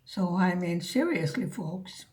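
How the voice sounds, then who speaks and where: A woman's voice: lowish voice, animated, measured pace, a little mellow. A woman with a slight Hungarian-American accent, chatting casually and rambling to viewers while she demonstrates a craft. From United States. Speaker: craft vlogger.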